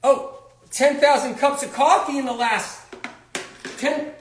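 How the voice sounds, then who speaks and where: A man's voice: very high-pitched, takes it slowly, roomy sound, pitched above the performer's own voice. A man doing a smug boastful diet bragger. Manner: mocking, sing-song, boastful. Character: smug young man bragging about his balanced diet.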